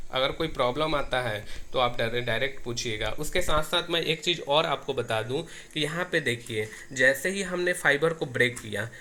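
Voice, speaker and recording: A man's voice: fairly high pitch, bright, forceful tone. A man explains a technical setup step by step, often repeating his phrases as he demonstrates. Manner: repetitive, restarts phrases as he demonstrates. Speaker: technician giving a tutorial. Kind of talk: hands-on tech tutorial, explaining step by step. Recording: noisy amateur recording with clicks.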